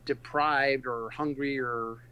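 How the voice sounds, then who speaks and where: Man, middle-aged, pastor, earnest, reflective, halting, casual interview. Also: medium pitch, steady tone, sharp tone, clear, resonant tone, low-quality recording. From California and Texas, USA. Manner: reflective and earnest, with frequent pauses and restarts.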